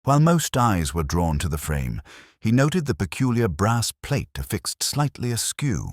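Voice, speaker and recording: A man reading scripted narration of a mystery story as narrator, in a measured, literary way with wry understatement. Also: fairly low pitch, big pitch swings, no echo, clean studio sound.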